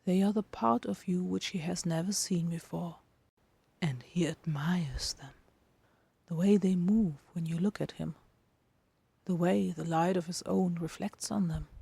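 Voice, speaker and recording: A woman narrates fiction in a low, mellow voice with noticeable creak. Her dramatic reading is hushed and intimate, with deliberate phrasing. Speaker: podfic narrator.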